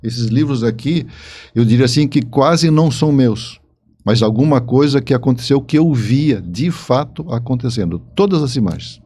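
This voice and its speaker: A man's voice: medium pitch, animated, normal speed, dark tone, slightly rough. A man speaking as an interview guest, explaining his books in an emphatic, repetitive conversational way. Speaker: guest author.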